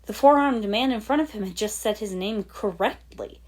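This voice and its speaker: A woman's voice: mid-range voice, moderate pace, a little mellow. A woman reading fan fiction aloud as dramatic scripted story narration. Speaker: fan fiction podfic reader.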